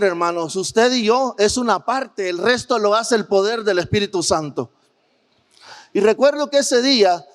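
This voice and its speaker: High-pitched male preacher in a reverberant hall. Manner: earnest, addresses the congregation with rhetorical questions. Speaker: preacher and missionary. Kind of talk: sermon with personal missionary testimony. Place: large church hall, preaching to a congregation. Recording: church hall with long reverb.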